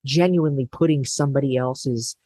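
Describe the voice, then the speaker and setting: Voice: mid-range voice, brisk, warm tone, no room sound. Reflective guest thinking aloud. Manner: reflective, thinks aloud, acts out past thoughts. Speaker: guest, business co-founder. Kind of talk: conversational interview.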